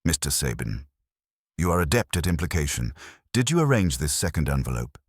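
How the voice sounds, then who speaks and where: A man's voice: a bass voice, very animated, a little fast, husky, no echo, studio quality, full character voice. A man voicing an imperious, pedantic master detective with pompous, formal and precise speech in an audiobook. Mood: stern, commanding.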